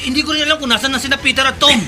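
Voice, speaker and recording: Urgent young radio drama hero, strikingly high sharp voice, worried temper. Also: male voice, steady tone, racing, faint music behind, full character voice. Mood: panicked. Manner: agitated, urgent. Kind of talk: horror radio drama acting.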